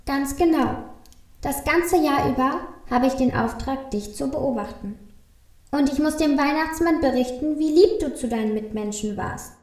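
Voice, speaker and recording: A woman's voice: high voice, moderate pace, darkish tone, echoey room, lightly acted. A woman playing a small, fretful, guilty male Christmas elf who stammers in a children's radio play. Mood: confessing, ashamed. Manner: fretful, stammering, repeating exclamations of dismay.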